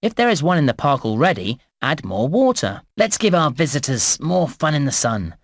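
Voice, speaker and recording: A man's voice: fairly high pitch, very animated, normal speed, dry recording, studio quality. A man voicing a chirpy, encouraging theme park guide in a children's computer game, with bouncy, exaggerated scripted delivery. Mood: cheerful, encouraging. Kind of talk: scripted game tutorial narration.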